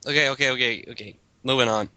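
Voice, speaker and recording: A man's voice: mid-range voice, steady tone, fast talker, a crowd in the background. A man hosting a group dramatic reading, talking casually as he introduces things and comments with friends.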